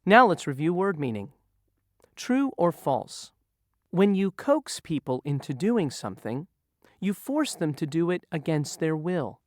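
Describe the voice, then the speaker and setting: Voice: mid-range voice, very animated, unhurried, dry recording, studio quality. A narrator asking learners review questions about word meanings in a patient, teacherly way. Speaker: quiz reviewer in a vocabulary lesson. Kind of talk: slow scripted quiz questions for learners.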